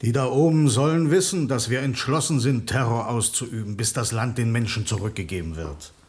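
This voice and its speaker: A man's voice: mid-range voice, normal speed, a little mellow, echoey room, close to an everyday voice. A man acting the resolute leader of an underground revolutionary cell in a radio play, grave and emphatic. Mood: defiant.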